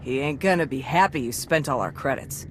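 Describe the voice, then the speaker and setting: Brash, lively young thief. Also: female voice, rumbling low, brisk, a little hiss or hum. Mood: sulky. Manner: brash, slangy. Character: cocky, sharp-tongued young female thief, defiant and sarcastic. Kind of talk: video game voice acting.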